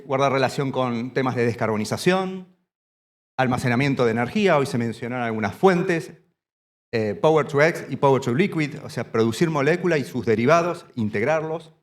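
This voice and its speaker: A man from Argentina giving a standing conference presentation in a hall, halting and often restarting his phrases. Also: medium pitch, expressive. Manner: speaks standing up. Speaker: panel speaker.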